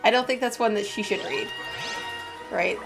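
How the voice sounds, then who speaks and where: A woman's voice: a little high, moderate pace, gravelly, music in the background. A woman game streamer reading story text aloud and commenting in a casual, agreeable way during a live playthrough.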